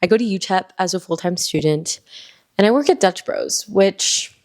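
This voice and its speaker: A woman's voice: mid-range voice, animated, moderate pace, a little mellow. A young woman in her early twenties, from Miami, chatting casually as a solo podcast host, rambling with lots of ums and likes. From Miami, Florida.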